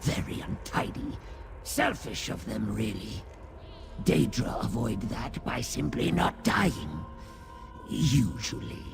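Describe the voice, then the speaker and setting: A man voicing a small sly rat-like creature in a fantasy video game, raspy and nasal, fawning and snide in a theatrical way. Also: mid-range voice, sing-song, over music, heavily acted. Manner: theatrical drawn-out phrasing, fawning to master. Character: small sly male Daedrat creature, servile, snide, vengeful.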